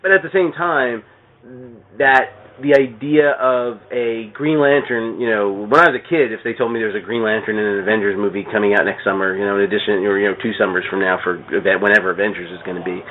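A man's voice: on the low side, fairly even intonation, quick pace. Rambling man on a thin line. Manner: rambling, peppered with "you know". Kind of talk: casual conversation about comics. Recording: thin phone-like radio sound.

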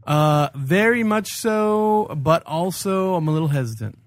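A man's voice: a little high, animated, measured pace, no room sound, studio quality. A man on a podcast panel, chatting casually in a joking, informal way. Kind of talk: casual chat.

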